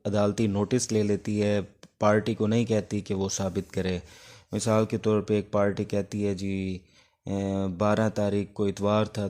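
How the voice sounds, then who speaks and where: Low, flat-toned Pakistani man teaching. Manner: explanatory, code-switches between Urdu and English. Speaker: law teacher and advocate. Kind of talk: informal exam-prep law lecture.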